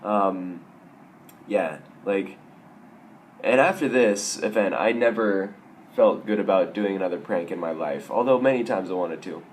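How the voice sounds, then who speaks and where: A young man with a raspy, hoarse voice from a sore throat, telling stories in a casual, self-mocking vlog. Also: sits low, unhurried, slight background noise. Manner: self-mocking, wry, rambling with restarts. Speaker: vlogger.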